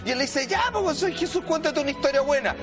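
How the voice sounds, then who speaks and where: A man's voice: very high-pitched, expressive, fast, music in the background. A man with a Chilean accent presenting a talk show, telling stories and commenting in a theatrical, slangy way and often calling for attention. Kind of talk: animated storytelling and commentary on cartoons.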